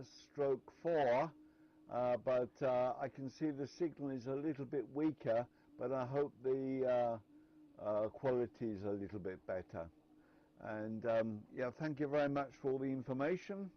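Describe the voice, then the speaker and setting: Hesitant man over dull radio audio. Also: medium pitch. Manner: hesitant, many fillers and restarts. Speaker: amateur radio operator. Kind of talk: casual on-air chat.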